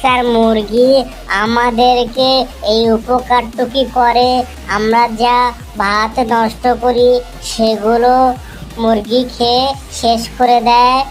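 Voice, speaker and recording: High-voiced eager cartoon schoolkid played by a woman. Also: level tone, slow pace, long pauses, soft background music. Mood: earnest reciting. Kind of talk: amateur cartoon comedy dubbing.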